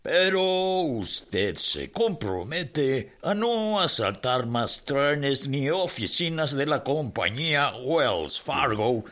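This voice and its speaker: A man's voice: mid-range voice, very animated, slow, few pauses, a bit bright. An actor playing a wary, polite American mining businessman bargaining in a radio drama. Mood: wary, negotiating.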